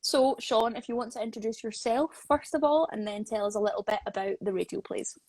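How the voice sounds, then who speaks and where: A woman hosts a casual live interview with a slightly high, husky voice. She is chatty and encouraging with her guest. The sound is dry, with little room echo. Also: brisk, a little mellow. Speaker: host, interviewer. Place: live social media video call.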